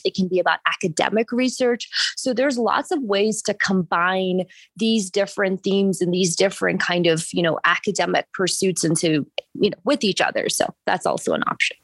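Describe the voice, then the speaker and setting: A woman's voice: mid-range voice, slightly rough, no room sound. A woman from Sri Lanka speaking conversationally as a panelist, often dropping in "you know" as an aside.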